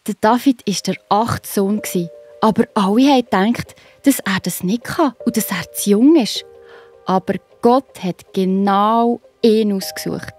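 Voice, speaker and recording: A woman's voice: mid-range voice, unhurried, a little mellow. A woman with a Swiss accent telling a Bible story to children as the narrator. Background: music.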